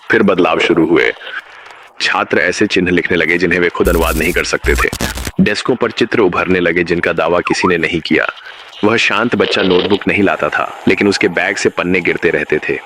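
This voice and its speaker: A man narrating a scripted horror story in a measured, ominous manner. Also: low voice, a bit bright, slight background noise.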